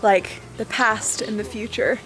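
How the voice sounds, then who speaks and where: A woman's voice: fairly high pitch, steady tone, unhurried, a bit bright, faint music behind, poor audio. A young woman from Bulgaria chatting casually as a travel vlogger, explaining the sites with lots of "like" fillers. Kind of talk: casual vlog narration. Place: outdoors at monuments and sites. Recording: faint outdoor and vehicle noise.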